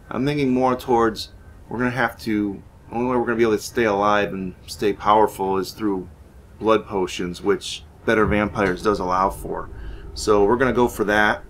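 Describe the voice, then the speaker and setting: Low-voiced man, noisy recording. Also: fairly even intonation, normal speed, a bit bright. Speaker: gaming series host. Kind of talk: roleplay let's play commentary with story narration.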